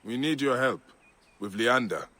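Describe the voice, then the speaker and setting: An actor playing a calm, dry, righteous Egyptian warrior in a video game. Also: male voice, mid-range voice, a little slow. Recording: loud music behind voice.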